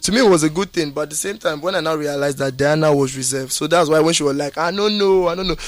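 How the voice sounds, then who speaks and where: A man's voice: on the high side, fast, no echo. A young Nigerian man in his twenties, a guest in a radio studio interview, chatting casually in Pidgin and English, laughing often and tagging on "you understand?". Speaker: reality show evictee guest.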